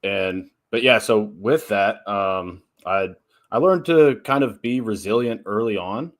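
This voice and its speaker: Casting: man in his 30s, lowish voice, easygoing interview guest, hesitant fillers. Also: a little slow. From Texas and Oklahoma. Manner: many fillers and restarts, laughs easily. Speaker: guest, nonprofit founder and strength coach. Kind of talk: casual interview talk.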